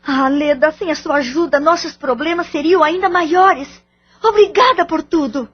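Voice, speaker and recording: A woman's voice: high voice, normal speed, slight background noise, natural voice. An actress playing a worried, protective mother in an audio drama. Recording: dull lo-fi audio drama recording.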